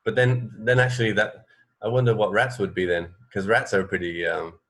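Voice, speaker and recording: A man from England co-hosting a radio show in casual chat, thinking aloud in a halting way. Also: lowish voice, steady tone, a bit bright. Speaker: radio co-host. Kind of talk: casual chat about fun facts.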